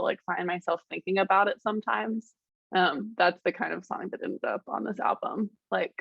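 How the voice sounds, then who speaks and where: A soft-spoken woman, a musician guest in a casual interview, talking hesitantly with many fillers and restarts. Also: on the low side, vocal fry, husky, no echo, dull audio. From Richmond, Virginia, United States.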